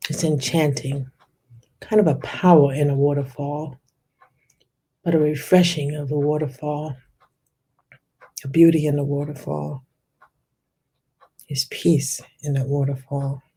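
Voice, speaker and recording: A meditation guide leading a guided visualization in a soft, soothing, unhurried way, lingering on descriptive words. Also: medium pitch, flat delivery, husky, poor audio.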